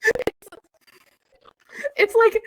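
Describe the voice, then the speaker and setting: A woman in casual chat and amateur reading aloud, giggly, excitable and joking. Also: very slow, noisy background. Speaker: podcast co-host reading along with a friend.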